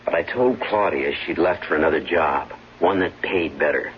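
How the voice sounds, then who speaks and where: Radio play villain: very low-voiced plotting husband, controlled, conspiratorial; muffled old broadcast. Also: male voice, moderate pace, slight background noise. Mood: cool, conspiratorial. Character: smooth, cautious scheming husband. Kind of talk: 1970s radio drama acting.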